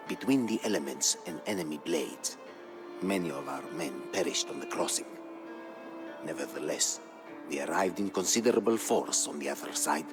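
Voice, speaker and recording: A very deep old war chronicler. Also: male voice. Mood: weary. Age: older. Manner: grave, measured storytelling. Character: aged solemn Umayyad chronicler of war campaigns, male. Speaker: narrator. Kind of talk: dramatic scripted storyline narration.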